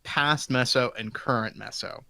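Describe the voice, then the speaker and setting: A man's voice: medium pitch, unhurried, gravelly, bright, forceful tone. A man co-hosting a podcast in casual group chat, rambling along in a self-deprecating way. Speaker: podcast co-host.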